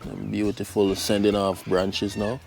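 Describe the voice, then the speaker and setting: A man's voice: fairly low pitch, completely flat delivery, measured pace, gravelly. A man from Jamaica with a Jamaican accent, chatting casually in his backyard garden as a channel host, laid-back and full of fillers. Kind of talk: casual garden vlog chat.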